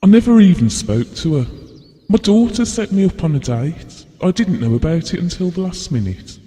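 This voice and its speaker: An actor playing a gentle, shy, middle-aged widowed father in an audio play, wistful and evasive. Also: high-pitched, lively intonation, normal speed. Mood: reflective. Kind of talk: short audio play dialogue. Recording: long hall-like reverb.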